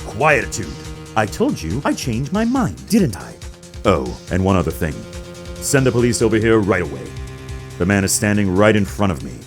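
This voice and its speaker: A man's voice: fairly low pitch, huge pitch swings, moderate pace, soft background music. A man voicing a pompous, manipulative businessman villain in a video game dub, with a theatrical, wordy delivery. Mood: smug.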